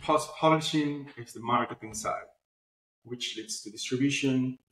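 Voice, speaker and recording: A man's voice: medium pitch, unhurried, a bit bright. Man explaining in a roomy echo. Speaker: interview guest, publishing platform founder. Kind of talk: conversational interview answers.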